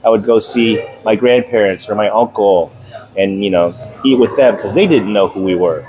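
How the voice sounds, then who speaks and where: A middle-aged man from the United States, a guest reminiscing in relaxed, rambling conversation and laughing easily. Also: fairly low pitch, moderate pace, crowd noise, rough recording. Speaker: interview guest.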